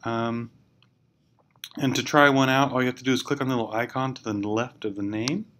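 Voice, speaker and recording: A man giving an informal, step-by-step software tutorial in a hesitant, explanatory way. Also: medium pitch, fairly even intonation, brisk. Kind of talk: informal screencast walkthrough. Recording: clear background noise, amateur screencast.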